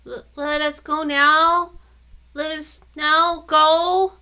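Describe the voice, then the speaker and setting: A woman voicing a frightened little boy, high whiny wail, bright tone, storybook reading. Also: level tone, very slow, exaggerated voice. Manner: whiny, wailing, stammering. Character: small scared pleading little boy.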